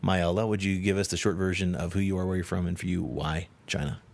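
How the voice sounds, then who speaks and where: A man hosting a casual podcast interview, speaking in a relaxed, chatty way from a hotel room into a shotgun movie mic. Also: deep voice, quick pace, vocal fry. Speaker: podcast host.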